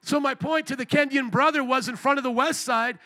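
A man preaching a sermon to his congregation, calling out to them and rising to loud, shouted emphasis. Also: very high voice, fairly even intonation, a little fast. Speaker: pastor. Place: church service.

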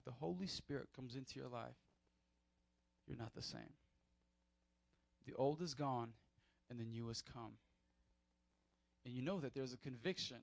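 Guy preaching in church, high voice, really animated, dry close sound. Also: no echo. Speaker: pastor. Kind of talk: sermon.

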